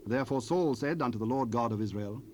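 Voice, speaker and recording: Solemn male Bible narrator, medium pitch. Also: fast talker, darkish tone. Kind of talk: scripted scripture narration. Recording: noisy cassette rip, ambient sound.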